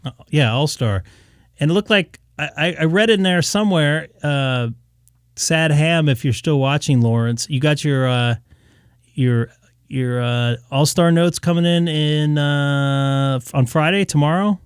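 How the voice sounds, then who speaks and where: A man's voice: a little high, measured pace, clear, resonant tone, no echo, studio quality. A man hosting a ham radio live stream, talking casually and a bit tired, with lots of fillers. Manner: tired, loose, chatty with many fillers.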